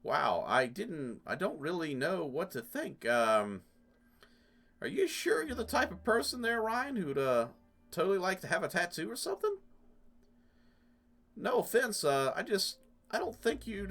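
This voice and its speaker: A man's voice: high voice, very animated, a little slow, fairly bright tone, soft background music, full character voice. A man voicing a sassy young punk woman from a video game, full of slacker slang and hesitations. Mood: puzzled, casual. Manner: filler-heavy slacker slang.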